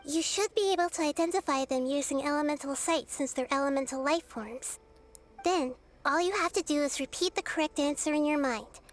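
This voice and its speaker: A woman voicing a small, childlike goddess in a video game, wise, gentle and composed. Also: sits high, fairly quick, slight background noise, lightly acted. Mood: calm, instructive. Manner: composed, articulate.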